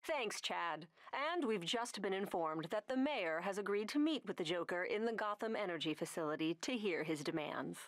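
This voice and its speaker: Female news anchor for an animated game, played by an actress: formal, earnest, mid-range, polished broadcast delivery. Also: medium pitch, natural voice. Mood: urgent, professional. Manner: brisk, formal newscaster delivery.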